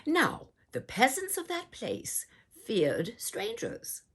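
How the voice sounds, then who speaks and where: A woman reading a children's storybook aloud in a theatrical, deliberate way, as if addressing young listeners. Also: a little high, wildly swooping pitch, a bit bright, slightly rough. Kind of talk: performed children's storybook narration.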